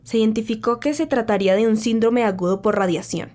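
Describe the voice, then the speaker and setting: A woman's voice: on the high side, fast, a little mellow, lightly acted. A woman playing a solemn radio newsreader of the 1940s, delivering scripted war news in a formal, grave, dramatic way.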